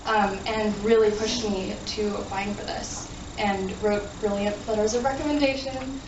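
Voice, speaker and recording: A woman's voice: medium pitch, fairly even intonation, moderate pace. A young woman, a graduate student, giving a short grateful speech with frequent ums. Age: young adult. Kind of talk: short thank-you speech. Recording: dull, echoey, distant audio with noise.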